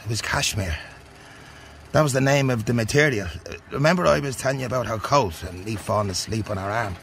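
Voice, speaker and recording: An actor playing a grieving, hesitant Irish working man in a radio drama, speaking haltingly with an Irish accent. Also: mid-range voice, creaky, a bit bright, slight background noise. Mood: wistful. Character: Martin, grieving, hesitant working son of a dead mother. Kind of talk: naturalistic radio drama acting.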